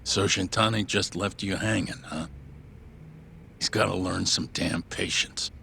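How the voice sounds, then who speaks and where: A man's voice: low voice, steady tone, measured pace, creaky, sharp tone, slight background noise, heavily acted. A man voicing a blunt, teasing friend in a video game cutscene.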